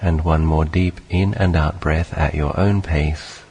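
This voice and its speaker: A man leading a guided meditation, speaking calmly in short, unhurried phrases with long pauses between them. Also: very deep voice, breathy edge. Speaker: meditation teacher.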